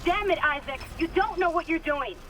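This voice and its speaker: A woman acting a furious, agitated character in a sci-fi horror game, sharp and profane. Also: very high-pitched, moderate pace. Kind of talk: video game cutscene acting. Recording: distant voice under clear noise.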